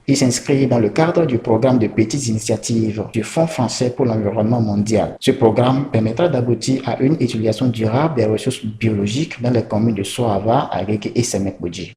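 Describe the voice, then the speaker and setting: A man's voice: medium pitch, dark tone, echoey room. A man reading a scripted report as narrator.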